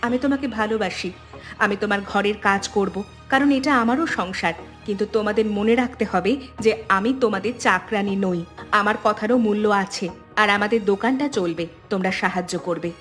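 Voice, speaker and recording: A woman plays a wronged young wife in a cartoon family drama. Her delivery is earnest and assertive, mid-pitched, with light music behind it. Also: a little fast, a bit bright, close to an everyday voice. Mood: firm. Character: wronged young daughter-in-law, firm, hurt, self-respecting.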